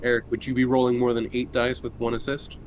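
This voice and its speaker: A man's voice: medium pitch, monotone, quick pace, slight background noise, low-quality recording. A man chatting casually as a player at a tabletop game.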